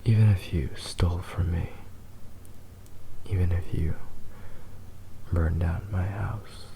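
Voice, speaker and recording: A man playing a possessive, devoted young fox spirit husband in a whispered romantic ASMR roleplay, soft, tender and hesitant. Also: low voice, fairly even intonation, slow pace, gravelly, slight background noise, full character voice, low-quality recording. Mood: devoted, intense.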